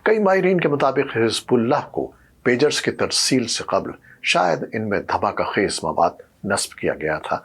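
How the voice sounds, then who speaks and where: A man presenting scripted news narration in a formal, measured newsreader manner. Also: mid-range voice, expressive, breathy edge.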